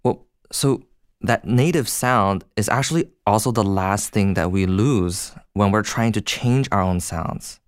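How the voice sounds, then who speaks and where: A man's voice: lowish voice, measured pace, smooth, no room sound, clean studio sound. A young man from China co-hosting a podcast, explaining ideas in a thoughtful, conversational way. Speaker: podcast co-host.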